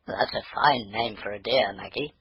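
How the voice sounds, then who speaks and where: A man's voice: lowish voice, moderate pace, a bit bright, breathy edge, no room sound. A man voicing a kind, reassuring father of a little girl in a children's storybook.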